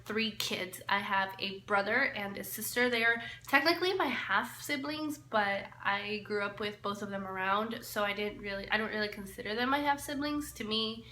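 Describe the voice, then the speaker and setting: A woman's voice: mid-range voice, normal speed, few pauses, sharp tone. A woman in her twenties, born in El Salvador, chatting casually to camera in a get-to-know-me vlog, often laughing and trailing off. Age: 20s. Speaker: lifestyle vlogger. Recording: echoey room, faint hiss.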